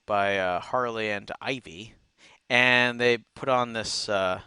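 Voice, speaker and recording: A man co-hosting a casual chat, recapping episodes in an animated storytelling way. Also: on the low side, takes it slowly, clear, resonant tone, no room sound, clean studio sound. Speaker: co-host reviewing cartoon episodes.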